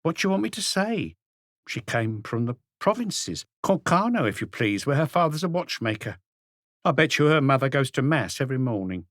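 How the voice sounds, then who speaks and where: A man's voice: medium pitch, big pitch swings, moderate pace, fairly bright tone, dry recording, clean studio sound, voice pushed up. A man voicing a plump, gossipy middle-aged woman who runs a café, in an audiobook reading. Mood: indignant. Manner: chattering, scornful, indignant.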